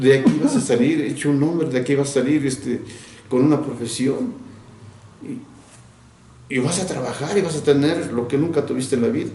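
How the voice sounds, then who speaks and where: An older man from Mexico, a retired teacher, recalling his childhood in an interview, reflective and often laughing, with halting restarts. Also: fairly high pitch, dark tone, reverberant, a little hiss or hum. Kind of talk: recounting childhood memories.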